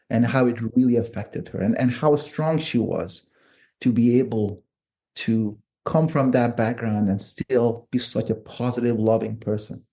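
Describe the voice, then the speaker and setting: Man, middle-aged, relaxed warm timbre, thoughtful conversational guest who restarts and rewords his points. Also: medium pitch. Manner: thoughtful, repeats and rephrases phrases. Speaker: podcast guest, author and psychiatrist. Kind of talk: reflective interview conversation. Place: remote online call.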